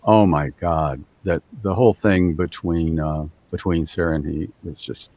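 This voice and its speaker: A man's voice: low voice, fairly even intonation, unhurried, a little mellow. A man, a voice actor guest, chiming in with enthusiastic reactions in casual chat. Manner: enthusiastic interjections.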